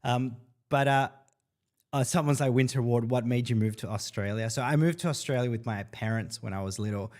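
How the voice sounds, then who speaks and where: A man's voice: mid-range voice, a little fast, darkish tone. A man in his thirties, from El Salvador, hosts a live stream in a loose, upbeat way. He talks in a mid-range voice, full of fillers and little asides as he greets viewers and answers their questions. His speech is friendly and unscripted. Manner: chatty, upbeat, lots of fillers, reads comments aloud. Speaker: livestream host.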